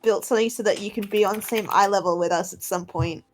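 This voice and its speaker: A woman's voice: medium pitch, steady tone, fast. A woman chatting casually as a player at a tabletop roleplaying game. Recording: music playing behind the voice. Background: background music.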